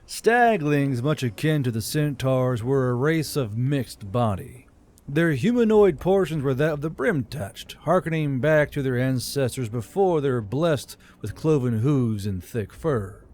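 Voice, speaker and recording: A man's voice: medium pitch, expressive, a little slow, a little mellow. A man reading scripted fantasy fiction as an audiobook narrator.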